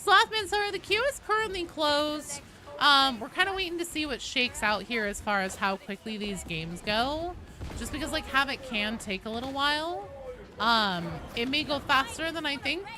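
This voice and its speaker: A woman's voice: high voice, expressive, normal speed, music in the background. A woman chatting casually and swearing freely while she live streams a game. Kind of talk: casual live gaming chat.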